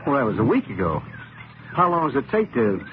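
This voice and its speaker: An actor in an old radio drama, playing an irritable, defensive and haunted racing driver. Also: male voice, mid-range voice, wildly swooping pitch, brisk. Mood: impatient. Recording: noisy narrowband old radio broadcast.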